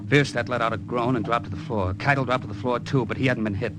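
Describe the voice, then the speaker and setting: A man's voice: medium pitch, fast talker, background noise, lightly acted. A male actor playing a tough, cynical American hard-boiled hero and narrator in a 1940s radio drama. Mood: tense narrating. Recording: old radio recording with music behind.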